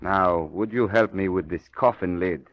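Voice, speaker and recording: An actor playing an eerie, placid undertaker in an old radio mystery, courteous and morbidly serene. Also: male voice, deep voice, moderate pace, a little hiss or hum, natural voice. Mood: calm, creepy. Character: eerie, placid male undertaker fond of the dead. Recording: dull old radio recording.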